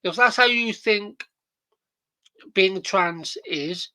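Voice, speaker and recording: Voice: medium pitch, drawn-out words, pushed and bright, dry recording. A podcast host in a casual reaction chat, rambling and profane with many fillers.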